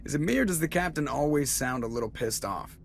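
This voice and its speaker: A man's voice: on the high side, expressive, normal speed, creaky, a little hiss or hum. A man playing a cocky, sarcastic young starship pilot in a video game, with a wry, flippant manner. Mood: wry, casual. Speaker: spaceship pilot. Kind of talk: video game character acting.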